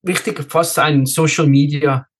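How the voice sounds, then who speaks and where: A man's voice: a little high, steady tone, unhurried. A man from Switzerland talking as a race director in a casual podcast interview, repeating words and dropping in the odd English one.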